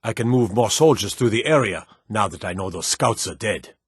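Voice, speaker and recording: A man playing a stern, battle-hardened military officer in a fantasy video game, brisk, commanding and gruffly matter-of-fact as he gives orders. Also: on the low side, animated, no echo, clean studio sound. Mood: satisfied.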